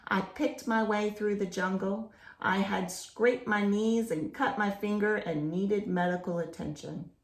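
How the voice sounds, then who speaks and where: Female children's storyteller, unhurried and slightly gravelly, expressive read-aloud with simple explanations, recorded in a roomy, echoey space. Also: medium pitch. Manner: explains to young listeners with simple questions and expressive emphasis.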